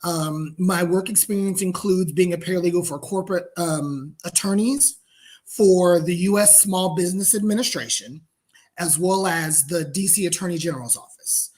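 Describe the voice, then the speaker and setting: A man's voice: high voice, normal speed, a little mellow. A man from the United States presenting as a guest over a video call, friendly and casual with frequent ums. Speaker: guest business founder. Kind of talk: webinar presentation.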